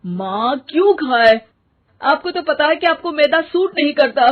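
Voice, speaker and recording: A woman's voice: high voice, lively intonation, measured pace. A woman voicing a concerned grown son who questions and reproaches his family in a moral story. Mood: worried scolding. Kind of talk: acted moral story dialogue. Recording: muffled, phone-like narrow band.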